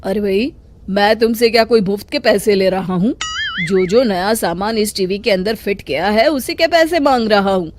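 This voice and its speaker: A woman's voice: mid-range voice, moderate pace, over music, close to an everyday voice. A woman voicing a greedy, dishonest male village repairman in a storybook tale, brusque and dismissive. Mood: impatient, scolding. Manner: dismissive, hard-nosed, wheedling. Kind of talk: children's storybook acting.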